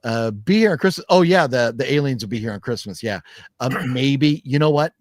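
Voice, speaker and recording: A man in his fifties hosts a loose livestream chat, reading out viewer comments as he goes. He rambles, swears freely and laughs often, with an average-pitched voice. The sound is dry and close, with no room echo. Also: animated, brisk. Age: 50s. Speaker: podcast host.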